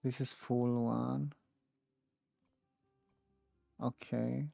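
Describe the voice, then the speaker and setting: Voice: medium pitch, level tone, extremely slow, vocal fry, dark tone, no room sound, clean studio sound, soft background music. A game streamer muttering casually and indecisively to themselves while playing. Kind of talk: casual gameplay commentary.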